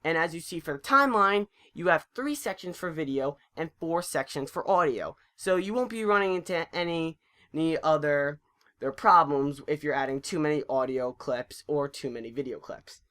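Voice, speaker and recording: Tutorial narrator for a screen recording: informal, chatty, mid-pitched voice, a little stumbling in delivery. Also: normal speed, fairly bright tone.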